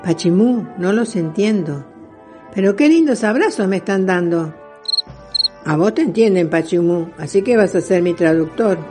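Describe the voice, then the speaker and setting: A woman's voice: fairly low pitch, normal speed, loud music behind the voice, lightly acted. A woman playing a spirited, kindly old great-grandmother and retired aviatrix in a children's radio play, with an Argentine accent and exclaiming delivery. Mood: delighted wonder. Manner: animated, exclaiming. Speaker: student voice actor.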